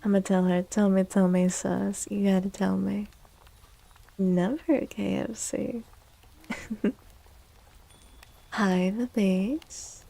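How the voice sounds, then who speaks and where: A woman livestream host chatting in a soft, gentle ASMR style close to the mic, drifting now and then toward a breathy whisper. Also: medium pitch, steady tone, takes it slowly, pauses often. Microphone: close microphone.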